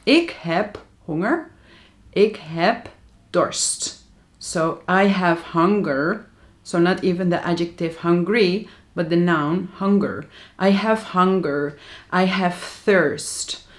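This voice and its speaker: Slow-speaking Dutch woman teaching. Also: fairly low pitch. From Netherlands. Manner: slow, careful pronunciation of example sentences. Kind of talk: language lesson to camera.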